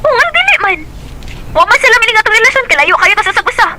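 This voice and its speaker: A woman's voice: extremely high pitch, very animated, racing, noisy background. An actress with a Cebuano accent playing a distant young girlfriend who answers curtly and defensively. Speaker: radio drama actress. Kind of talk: Cebuano radio drama acting.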